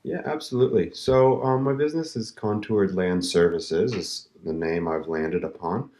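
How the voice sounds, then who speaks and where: Deep-voiced guest in a roomy studio. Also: male voice, normal speed. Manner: relaxed, friendly, laughs easily. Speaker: studio guest, land consultant. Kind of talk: radio interview.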